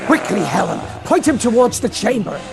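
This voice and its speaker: A woman's voice: fairly high pitch, big pitch swings, normal speed, vocal fry, loud music behind the voice. A woman playing a bossy, melodramatic B-movie professor in an amateur radio parody, commanding and theatrical. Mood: urgent.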